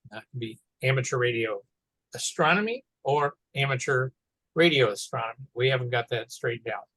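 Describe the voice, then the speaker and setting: A man commenting casually on a teleconference, talking in a halting, rambling way. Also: mid-range voice, lively intonation, a bit bright, dry recording, low-quality recording. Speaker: meeting participant.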